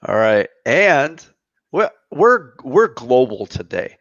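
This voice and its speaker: A man's voice: medium pitch, sing-song, slow pace, fairly bright tone, no room sound. A man in his fifties hosting a live online show, chatty and joking with viewers. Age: 50s. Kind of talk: casual live-stream chat. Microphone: computer mic on a live video stream. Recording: dry, slightly dull stream audio.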